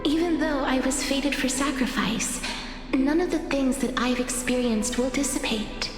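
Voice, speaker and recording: Serene, slow-spoken sister in cavernous reverb. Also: female voice, high-pitched. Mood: tender, serene. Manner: soft, solemn, measured. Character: gentle, serene elder sister resigned to fated sacrifice. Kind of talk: dramatic video game voice acting. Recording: cavernous reverb with music behind.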